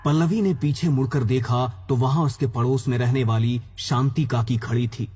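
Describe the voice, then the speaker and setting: A man's voice: mid-range voice, fairly even intonation, moderate pace, dark tone. A man delivering scripted narration for a dramatic horror story. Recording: music under the voice.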